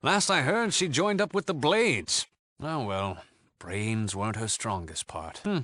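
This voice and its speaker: An actor playing a cheeky, worldly British gang leader in a video game, wry and flippant. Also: male voice, mid-range voice, a little slow, bright, forceful tone, dry recording. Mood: wistful, offhand. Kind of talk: video game character dialogue.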